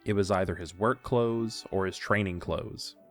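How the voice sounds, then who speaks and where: A man's voice: low-pitched, moderate pace, faint music behind. A man reading scripted narration for an audio drama, telling a story in the third person.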